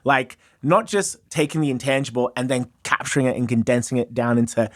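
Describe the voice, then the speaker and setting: A man's voice: mid-range voice, fairly quick, fairly bright tone, no echo. A middle-aged man in a casual studio conversation, effusive and wordy, laughing often and searching for words. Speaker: freestyle MC and podcast guest. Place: small studio room. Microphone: studio mic on stand, headphones.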